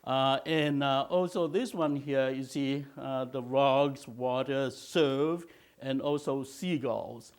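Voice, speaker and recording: Slow, halting older male art teacher. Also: medium pitch, clean tone. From China, raised in Malaysia. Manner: slow, halting, many filler uhs. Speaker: watercolor teacher. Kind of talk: instructional video talk.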